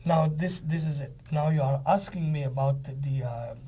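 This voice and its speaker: An older Israeli man giving political commentary as a panel guest. His voice is fairly high for a man and mellow, his phrasing deliberate and emphatic, over a noisy recording. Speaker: author and journalist, panel guest. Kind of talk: political discussion.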